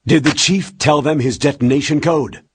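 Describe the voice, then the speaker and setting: An actor playing a stern, burdened older military commander in a video game, with a rough, husky voice. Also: male voice, on the high side, normal speed. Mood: grave. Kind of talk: dramatic video game voice acting.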